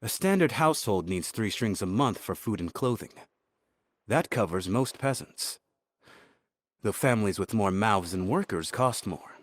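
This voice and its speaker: Low-voiced, sober advisor. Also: male voice, expressive, a little slow, no room sound, studio quality. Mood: sober, factual. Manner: sober, explanatory. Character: matter-of-fact male advisor reckoning household costs. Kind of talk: dramatic video game acting.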